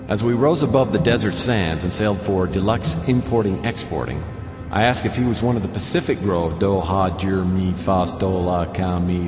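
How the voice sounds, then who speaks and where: Give this actor's hardboiled private eye a low male voice with deadpan, sardonic weariness. He narrates a noir radio play in long reverb, with music clearly behind him. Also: close to an everyday voice. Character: wry, world-weary hardboiled male private detective. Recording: roomy long reverb under loud music.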